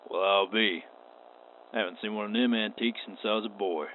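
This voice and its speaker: An actor playing a stern, wary space western marshal in a radio play, with a terse, folksy drawl. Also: male voice, lowish voice, steady tone, fairly quick, close to an everyday voice. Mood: dry, skeptical. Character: gruff, wary, stern male frontier marshal. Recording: tinny band-limited audio with clear noise.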